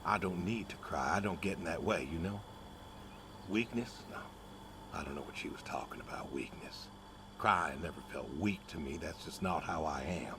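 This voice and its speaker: Casting: middle-aged farmer for a narrative game, very low pitch, folksy and understated, grieving stubbornly; noisy ambient recording. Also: male voice, animated, moderate pace. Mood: wistful, reflective. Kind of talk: naturalistic dramatic game dialogue.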